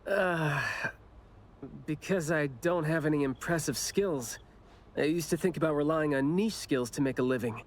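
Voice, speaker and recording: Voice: mid-range voice, normal speed, lightly acted. A performer voicing a laid-back, chatty young investigator in an anime game, with a casual, teasing manner. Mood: playful.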